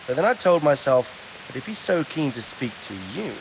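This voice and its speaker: An actor playing an authoritative, gruffly practical medieval lord in a video game cutscene. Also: male voice, on the high side, expressive, normal speed, slight background noise, rough recording. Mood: brisk, commanding.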